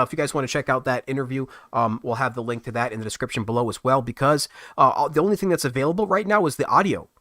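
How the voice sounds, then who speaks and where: A man talks quickly to his livestream audience, rambling and restarting his sentences as he goes. His voice sits at an ordinary pitch, and the sound is dry and close. He comes across as a casual host. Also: fairly bright tone, dry recording. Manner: fast, rambling, many restarts. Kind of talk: casual livestream chat to viewers.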